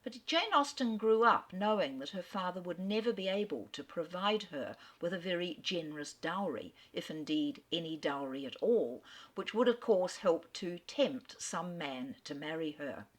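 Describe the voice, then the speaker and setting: Expressive woman lecturing on literature. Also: fairly low pitch, moderate pace. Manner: enthusiastic, expressive storyteller. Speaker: literary speaker. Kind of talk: lecture narration.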